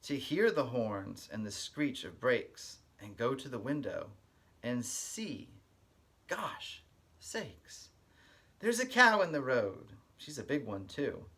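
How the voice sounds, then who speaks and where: A man working as a kindergarten teacher, giving an expressive read-aloud and explaining things simply to young listeners. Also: mid-range voice, slow, breathy edge.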